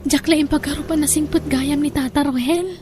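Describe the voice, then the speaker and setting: A woman's voice: high-pitched, fairly even intonation, brisk, natural voice. A woman playing a worried, hard-up village wife and devoted daughter in an audio drama, speaking with a Filipino Ilocano accent and a plaintive manner. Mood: chatty. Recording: music under the voice.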